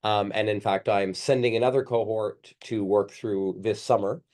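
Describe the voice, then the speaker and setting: A man who works as a computer science professor gives a workshop talk over a video call. His voice is croaky from a cold, and he hesitates with many ums. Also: fairly low pitch, steady tone, moderate pace, darkish tone.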